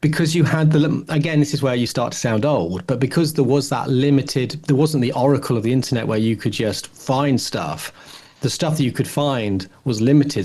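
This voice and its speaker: A man's voice: mid-range voice, a little mellow, breathy edge. A middle-aged man talking casually as a podcast co-host, thinking aloud in a reflective, rambling way. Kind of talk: casual discussion.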